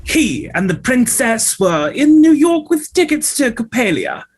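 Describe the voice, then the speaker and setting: A man's voice: extremely high pitch, sing-song, a little slow, full character voice. An actor playing a vain, flamboyant male ballet dancer telling a gossipy story at a script table read.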